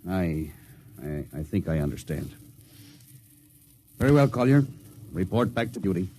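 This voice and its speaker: An actor playing a steady, commanding American starship captain in a 1950s radio drama. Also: male voice, deep voice, measured pace. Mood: concerned, subdued. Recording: vintage 1950s radio recording, music behind.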